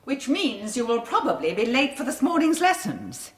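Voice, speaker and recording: A woman's voice: fairly high pitch, wildly swooping pitch, moderate pace, husky, full character voice. A woman playing a prim, haughty Victorian governess in a radio drama, with an upper-class English accent and formal, reproving delivery. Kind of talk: 1990s radio drama acting.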